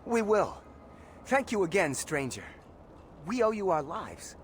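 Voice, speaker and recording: A high, animated voice of a timid captive in a video game. The slave sounds earnest, grateful and astonished, with faint noise behind. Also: male voice, measured pace. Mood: amazed, grateful. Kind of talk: video game character dialogue.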